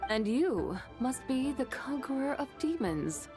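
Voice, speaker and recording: A woman's voice: sits high, big pitch swings, a little slow, full character voice. A woman voicing an ancient, proud and mischievous horse spirit in a video game, with grandiose, archaic phrasing. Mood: intrigued. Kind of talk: video game character dialogue. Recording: game music behind the voice.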